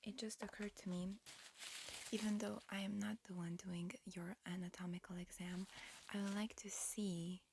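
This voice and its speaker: A woman whispering breathily in a gentle, halting way, close to the mic, playing a politely fussy examiner in an ASMR roleplay. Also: mid-range voice, little pitch movement, background noise. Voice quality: breathy, mostly whispered with soft murmurs. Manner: gentle, unhurried, halting instructions. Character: disguised alien medical examiner, politely fussy and encouraging.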